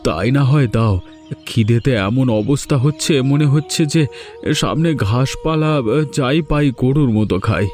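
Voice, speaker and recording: A poor, hungry farmer husband pleads for something to eat, voiced by a man in a cartoon. His voice sits at an everyday male pitch and he complains in a weary way. Faint music plays behind him. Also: moderate pace, a little mellow, natural voice. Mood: pleading. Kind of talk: cartoon dubbing.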